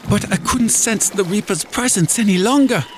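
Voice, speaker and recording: A man's voice: sits high, moderate pace, background noise. A man narrating a first-person horror story in a dramatic, scripted storytelling style. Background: music.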